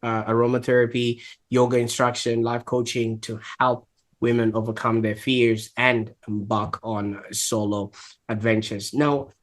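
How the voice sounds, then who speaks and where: A man hosts an interview show in a steady, measured way. He encourages his guest and sums up her points for the audience. His voice sits at an ordinary middle pitch for a man. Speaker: podcast host. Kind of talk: interview hosting.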